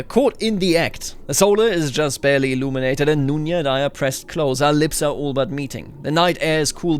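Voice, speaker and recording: Higher-pitched man doing casual game commentary. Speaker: gaming video host. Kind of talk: casual game commentary mixed with reading in-game story text aloud.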